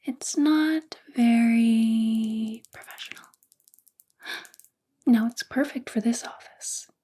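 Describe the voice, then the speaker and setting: Breathy, whispering possessive female boss, acted by a woman. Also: on the high side, takes it slowly, warm tone. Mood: softly persuasive. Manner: coaxing, intimate, subtly controlling. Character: possessive, controlling female boss, sweetly coaxing. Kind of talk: soft-spoken ASMR roleplay, often whispering.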